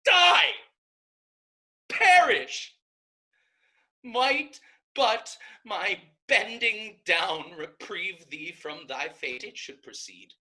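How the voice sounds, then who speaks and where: A woman's voice: medium pitch, big pitch swings, extremely slow, long pauses, bright, forceful tone, heavily acted. A woman playing a fierce, virtuous young novice sister in a staged Shakespeare reading, emphatic and declamatory. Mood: outraged.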